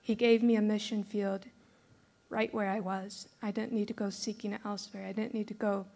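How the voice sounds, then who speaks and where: A woman's voice: medium pitch, flat delivery, a little fast, gravelly, dark tone. A woman in her thirties who grew up in Brazil, giving an earnest personal faith testimony to a church group. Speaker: former teacher giving a testimony.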